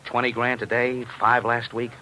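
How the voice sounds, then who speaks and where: Bright, forceful man acting a hard-boiled bookie boss. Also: mid-range voice, level tone, normal speed, lightly acted. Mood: grim, frustrated. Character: exasperated, hard-boiled male bookmaking boss. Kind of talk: 1940s radio drama acting. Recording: faintly noisy old radio recording.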